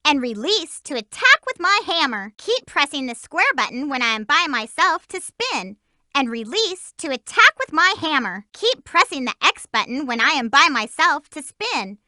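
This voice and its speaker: A woman's voice: high voice, big pitch swings, normal speed, pushed and bright, dry recording, studio quality, exaggerated voice. A woman voicing a peppy, lovestruck young female hedgehog heroine for a video game, bubbly and bossy with chirpy exclamations. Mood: instructive. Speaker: voice actress. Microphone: studio mic.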